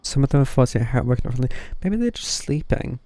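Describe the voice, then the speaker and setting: A game streamer giving casual, offhand, halting commentary during live play. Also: medium pitch, sing-song, warm tone, no room sound.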